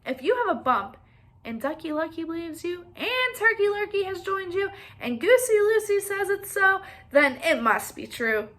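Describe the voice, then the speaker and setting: A woman voicing a male rooster from a children's storybook, loud and inquiring, then easily convinced. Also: extremely high pitch, very animated, normal speed, pitched above the performer's own voice, heavily acted.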